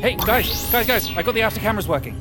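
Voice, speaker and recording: A man's voice: sits high, lively intonation, music in the background. A man playing a jittery, hesitant tech operator under strain in an audio drama. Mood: focused. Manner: halting, informal.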